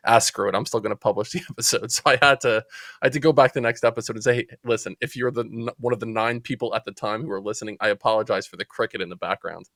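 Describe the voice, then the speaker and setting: Chatty New Jersey man, low and dry. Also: very fast, no room sound. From Central New Jersey. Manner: chatty, animated storyteller. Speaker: podcast guest. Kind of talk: casual storytelling interview.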